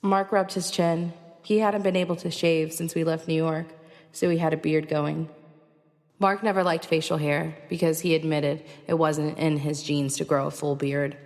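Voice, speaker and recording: A low-pitched female voice with a long reverberant tail. A woman plays a weary, determined survivor of an invasion, telling her own story as audio drama and slipping into dialogue. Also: fairly even intonation, normal speed, darkish tone. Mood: concerned, insistent. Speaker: narrator and lead actor. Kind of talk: first-person audio drama narration with acted dialogue.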